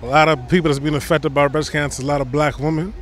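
A young man from Jamaica talking in a relaxed, casual way into a handheld mic outdoors. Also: on the high side, fast. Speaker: walk participant. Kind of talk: street interview. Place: outdoors by a fence. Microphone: handheld reporter mic.

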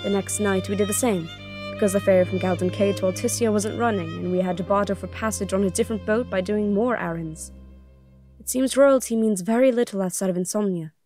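A woman's voice: medium pitch, a little fast, warm tone, faint music behind, lightly acted. A young woman playing an anxious, sorrowful princess in an audio drama, earnest and melancholy. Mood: melancholy, haunted. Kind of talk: audio drama acting and first-person narration.

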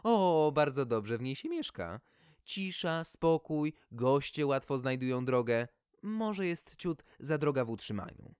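Male reader as a motherly woman, brisk, unflustered, melodious, lively intonation, storybook hostess. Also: mid-range voice, clean tone, dry recording, clean studio sound. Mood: chatty, serene.

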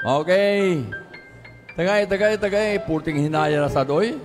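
A man's voice: high-pitched, measured pace. A man with a Cebuano Filipino accent addressing a church service as pastor, giving short, repeated directions to the congregation. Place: large church auditorium. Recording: reverberant church hall sound with music behind.

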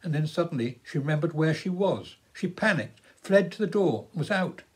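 Storyteller: English man, 70s, slightly high-pitched voice, reading a storybook aloud at home. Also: lively intonation, moderate pace. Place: living room at home, indoors.

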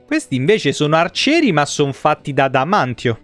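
Man, fairly high pitch, casual gameplay commentary, informal, close mic, music behind. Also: sing-song, normal speed, a bit bright. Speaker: video game streamer. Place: small indoor room at a desk. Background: game music.

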